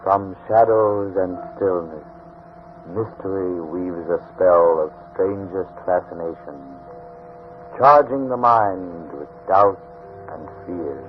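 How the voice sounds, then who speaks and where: Deep, ominous mystery radio host. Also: male voice. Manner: solemn, ominous, measured. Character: solemn, ominous male host of a mystery series. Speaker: announcer. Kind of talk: 1940s radio scripted narration, dramatic. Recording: narrow old radio recording under music.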